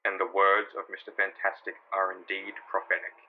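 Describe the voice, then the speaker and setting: A man's voice: low voice, completely flat delivery, a little fast, phone-quality sound, heavy background noise. A man giving dramatic, portentous scripted narration of a superhero comic. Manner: theatrical, portentous.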